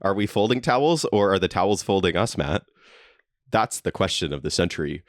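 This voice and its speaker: A man's voice: deep voice, expressive, brisk, dry recording, studio quality. A young man co-hosting a podcast, reading a comedy script aloud and laughing often as he goes. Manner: laughs often, jokey delivery. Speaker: podcast co-host. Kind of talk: comedic read-through of a script. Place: friend's basement.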